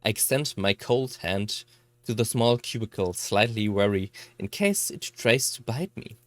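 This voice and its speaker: A narrator reading a first-person visual novel story aloud in a measured delivery. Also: medium pitch, dry recording.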